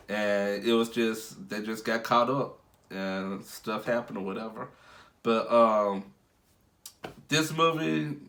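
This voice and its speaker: A man's voice: on the low side, fairly bright tone. A congested young man who is under the weather, rambling casually through a movie review with many fillers and restarts.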